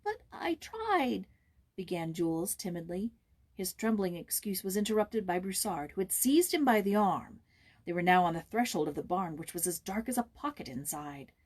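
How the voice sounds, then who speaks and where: A woman narrating a storybook in a theatrical, expressive way, with a husky voice. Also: fairly low pitch, normal speed. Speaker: audiobook reader, voice actor. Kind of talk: dramatic scripted storybook narration.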